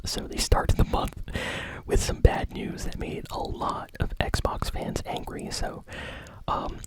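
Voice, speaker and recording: A man hosting ASMR gaming news in a soft, casual whisper, full of fillers like "like" and "you know". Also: very high voice, low-quality recording.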